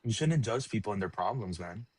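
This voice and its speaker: A man's voice: on the low side, expressive, brisk, crowd noise. A man from El Salvador calling in to a debate stream, earnest and hesitant, with many ums. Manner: earnest, hesitant, filler-heavy. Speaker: caller. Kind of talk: casual call-in debate.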